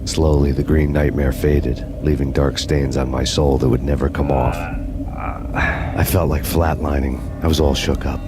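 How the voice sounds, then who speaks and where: Very deep brooding noir cop. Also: male voice, moderate pace, noisy background. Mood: grim, brooding. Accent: American. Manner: deadpan, poetic, world-weary. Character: brooding, world-weary hard-boiled American cop narrating his revenge. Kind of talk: scripted noir narration. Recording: music under the voice.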